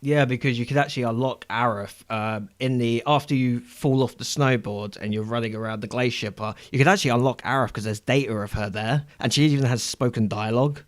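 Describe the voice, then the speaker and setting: A man's voice: medium pitch, brisk, hardly any pauses, no room sound. A man talking casually as a panelist in a fan discussion podcast.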